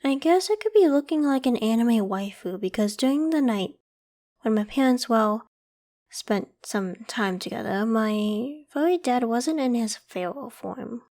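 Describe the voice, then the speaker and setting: The sheltering werewolf girl of a late-night ASMR monster roleplay, acted by a woman, a lightly high voice telling you casually and kindly that you are safe with her. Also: expressive, unhurried. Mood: chatty. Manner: casual, conversational, gently protective. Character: friendly, protective half-human female werewolf, young, self-conscious. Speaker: voice actor in audio roleplay.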